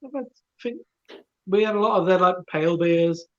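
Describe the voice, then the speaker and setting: A man co-hosting a casual live-stream chat, talking in quick offhand bits that trail off. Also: sits high, muffled recording.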